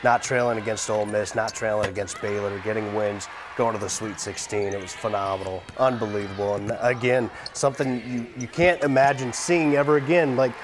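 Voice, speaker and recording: A man's voice: on the low side, steady tone, a little fast, hardly any pauses, background noise. A man talking casually about sports as a commentator on a panel.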